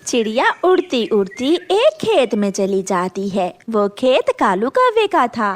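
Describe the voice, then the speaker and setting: A woman tells a scripted story for children. Her voice is high, with a very animated, wide-ranging melody that carries the narration. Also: a little slow, a little hiss or hum.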